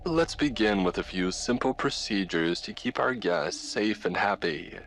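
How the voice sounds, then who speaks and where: A man performs an eerily cheerful host of a 1950s hotel instructional film. He has a transatlantic accent and a light, practiced salesman's patter with odd pauses. His pitch is lifted, and music plays behind him. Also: animated, gravelly, a bit bright, slight background noise, heavily acted. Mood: cheerful, welcoming. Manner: light, upbeat, practiced, oddly pausing salesman delivery.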